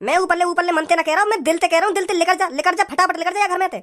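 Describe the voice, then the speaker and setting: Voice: extremely high pitch, fairly even intonation, rapid-fire, fairly bright tone. A performer voicing an angry, scolding village father in a comedy cartoon, with a Braj accent and a dismissive, repetitive nagging manner. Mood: furious. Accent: Braj, western Uttar Pradesh.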